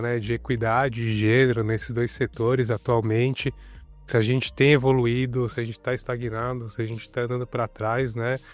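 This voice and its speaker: A man moderating an executive panel, conversational and leaning on tag questions. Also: medium pitch.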